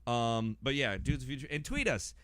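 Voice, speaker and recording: A man's voice: mid-range voice, sing-song, moderate pace, fairly bright tone, no echo. A middle-aged American man hosting a podcast in a casual, rambling, self-deprecating way. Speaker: podcast host. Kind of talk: casual chat.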